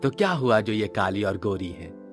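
A man voicing a gentle, concerned father in a cartoon, with a soothing, reassuring manner. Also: on the low side, lively intonation, normal speed, music in the background, lightly acted.

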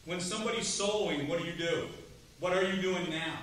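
A middle-aged man giving a drum clinic to students, chatty and lively, asking the room questions. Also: sits high, fairly quick. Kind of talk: percussion clinic talk to students. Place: large rehearsal hall, standing before seated students. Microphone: far camera, no mic. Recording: roomy hall from far off, faint noise.

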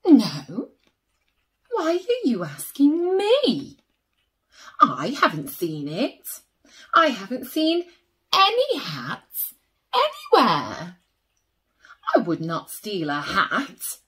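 A woman's voice: on the high side, huge pitch swings, very slow, pauses often, fairly bright tone, husky, heavily acted. A woman voicing a shifty, defensive rabbit who protests jumpily, in a children's picture book. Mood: defensive, flustered.

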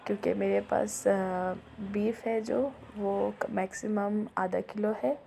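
A middle-aged Pakistani woman, a little unwell, giving an informal step-by-step recipe voice-over with hesitant pauses. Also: mid-range voice, little pitch movement, creaky, dark tone. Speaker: home cook and video host. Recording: noticeable background noise, home recording.

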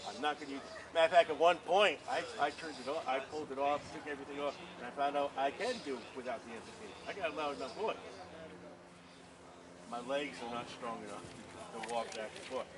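A man's voice: medium pitch, fast talker. A man who preaches on the street, talking earnestly and insistently with police officers outdoors at a market. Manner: earnest, insistent, talks over others. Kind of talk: casual conversation with police. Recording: distant voice, busy street crowd.

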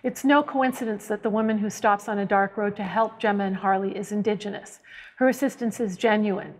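A middle-aged woman, giving scripted educational narration in a measured, precise way as a professor. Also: medium pitch, fairly even intonation. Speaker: professor presenting a web series.

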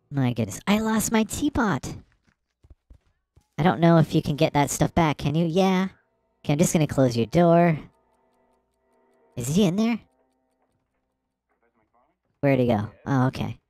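Lively low-voiced woman gaming host. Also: no room sound. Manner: excitable, gasps and shrieks at surprises. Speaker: let's play host. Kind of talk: playful game commentary.

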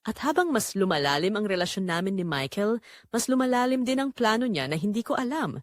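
Woman playing a guilt-ridden wife, lively pitch, confessional. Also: fairly quick, no echo, clean studio sound, lightly acted. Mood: guilty, troubled. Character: guilt-ridden married mother confessing a secret affair. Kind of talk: dramatic first-person scripted narration.

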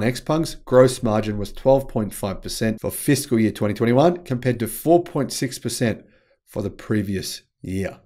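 A man in his forties presents scripted news commentary to camera at a middle pitch. He leans hard on the start of each phrase and lets the ends fall away. It sounds like a host recording at home. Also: a little mellow. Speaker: host of an electric car news channel. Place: small furnished home room.

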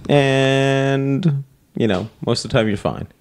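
A man's voice: mid-range voice, slow pace, darkish tone, smooth. A man co-hosting a podcast in casual chat, joking and swearing freely. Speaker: podcast co-host.

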